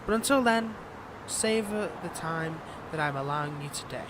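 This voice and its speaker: A man's voice: a little high, expressive, normal speed, heavy background noise, lightly acted. A man playing a smug, possessive fantasy villain in a roleplay audio drama, with a taunting, theatrical manner. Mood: smug, threatening.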